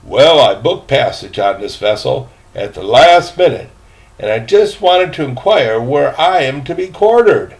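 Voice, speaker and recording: A round little elderly gentleman from a storybook, read aloud by an older man, speaks in a gravelly, creaky voice. He is courteous and learned, choosing his words slowly and formally with a slightly pompous precision. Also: medium pitch, lively intonation.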